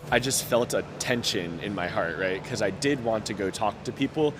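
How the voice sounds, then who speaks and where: A man's voice: fairly low pitch, moderate pace, faint music behind. A young man who stutters, telling his personal story in an earnest, open way, with frequent repeated sounds, broken-off words and many fillers. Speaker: interviewee. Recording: noticeable outdoor background noise.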